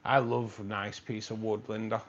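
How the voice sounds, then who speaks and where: A man's voice: lowish voice, little pitch movement, moderate pace, muffled recording. A man hosting a live stream from a room with fish tanks, chatting casually and rambling, often repeating himself.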